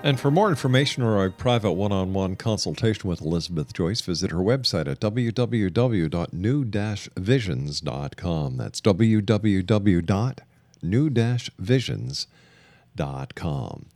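A man's voice: fairly low pitch, lively intonation, a little slow, a little mellow, faint music behind. A man hosting a radio show in an announcer's broadcast style.

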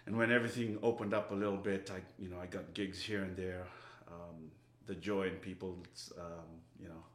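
Soft-spoken man from Fiji, roomy hall. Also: little pitch movement, normal speed. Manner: soft-spoken, low-key. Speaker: singer-songwriter. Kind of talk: casual spoken intro between songs. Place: brewery barrel house. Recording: roomy brewery hall echo.

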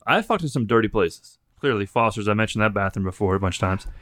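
A man's voice: on the low side, lively intonation, fast talker, a bit bright, no echo, studio quality. A man co-hosting a podcast, chatting casually and crudely, telling blunt, profanity-laced stories. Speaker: podcast co-host.

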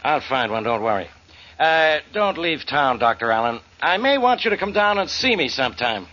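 An actor playing a gruff, suspicious, overbearing police inspector in 1940s radio style, on a muffled old recording. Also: male voice, a little high, sing-song, moderate pace, bright, forceful tone, heavily acted. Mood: accusing, gruff. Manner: blunt, accusing, sarcastic.

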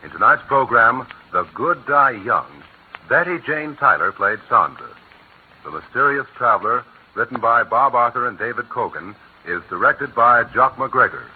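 Crisp old-time radio announcer, man. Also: mid-range voice, lively intonation, moderate pace. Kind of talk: formal broadcast announcing. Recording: tinny old radio broadcast with hiss.

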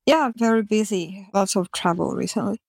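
A woman, answering interview questions in an earnest, explanatory way with slightly halting phrasing over a remote call. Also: mid-range voice, gravelly, darkish tone, no echo, studio quality. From Taiwan. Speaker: podcast guest.

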